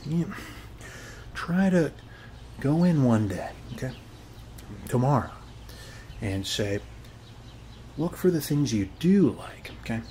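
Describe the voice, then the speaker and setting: A man's voice: mid-range voice, lively intonation, takes it slowly, long pauses, mellow, slight background noise. A man playing a friendly, chatty suburban neighbor in a casual ASMR roleplay, rambling reassuringly in a folksy way and tagging phrases with short check-in questions. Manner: folksy, reassuring, repeats "okay?" as a tag. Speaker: ASMR performer.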